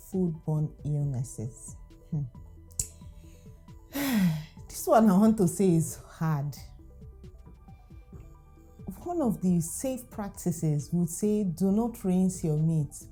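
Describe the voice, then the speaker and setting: Nigerian-accented woman, igbo first language, dark mellow voice, animated, faint music behind. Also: lowish voice. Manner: chatty, animated, laughs often, exclaims. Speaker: food vlogger. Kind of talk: casual talk to camera.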